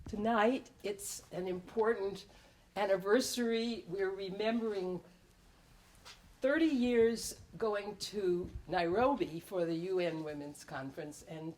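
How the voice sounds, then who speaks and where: Slow-paced woman reading her writing aloud. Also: mid-range voice, darkish tone. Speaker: writer and performer in an ensemble. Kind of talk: live poetry reading with spoken introduction. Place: public library event before an audience.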